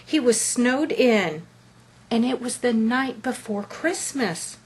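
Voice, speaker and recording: A woman reading a children's picture book aloud as a storyteller, with deliberate, expressive phrasing. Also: a little high, breathy edge, rough recording.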